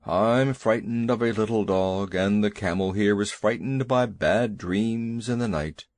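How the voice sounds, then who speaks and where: A man's voice: on the low side, unhurried, darkish tone, dry recording, studio quality, pitched below the performer's own voice, lightly acted. Two Tails, a man doing a fussy giant elephant. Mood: pompous. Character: huge timid male elephant, pompous and fussy.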